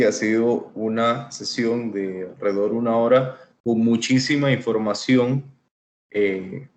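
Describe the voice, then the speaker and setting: A man with a fairly low voice moderates a webinar. He speaks appreciatively and pauses with fillers as he leads into the question-and-answer part. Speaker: session moderator.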